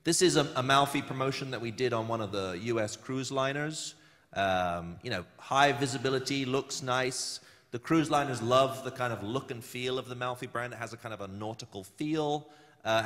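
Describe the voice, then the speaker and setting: A man speaking on a conference panel in a halting way, repeating words and leaning on "you know". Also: medium pitch, a bit bright. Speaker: panel speaker, brand representative. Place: conference hall. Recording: long reverb of a hall.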